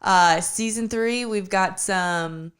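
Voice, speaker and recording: A woman's voice: mid-range voice, takes it slowly, a bit bright, clean tone. A woman co-hosting a podcast, chatting in an earnest, reflective way with frequent fillers. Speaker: podcast co-host. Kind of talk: casual chat.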